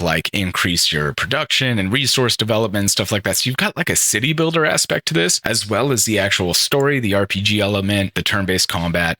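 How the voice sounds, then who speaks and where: A man's voice: fairly low pitch, bright, forceful tone, no room sound, studio quality. A young man hosting a gaming podcast alone, rambling and enthusiastic, often correcting himself as he talks through the games. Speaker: podcast host. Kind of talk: solo casual gaming podcast commentary.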